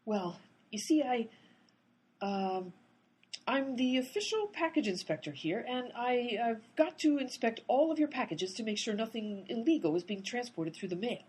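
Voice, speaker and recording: A woman's voice: a little high, expressive, normal speed, a little mellow, slightly rough. A woman playing an earnest, literal-minded amateur detective in a radio comedy, insistent and pedantic. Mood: bluffing.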